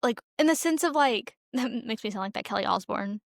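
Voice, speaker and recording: A woman's voice: on the high side, lively intonation, brisk, creaky, dry recording, clean studio sound. A young adult woman co-hosting a podcast, chatting informally and emphatically about films. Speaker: podcast co-host. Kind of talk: casual chat about films.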